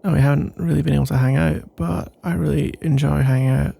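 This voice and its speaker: A man playing a nervous, pensive roommate in an intimate ASMR roleplay, speaking in a soft, husky, halting ramble. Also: on the high side, steady tone, heavily acted. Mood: hesitant, nervous. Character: nervous, pensive male roommate working up to a confession.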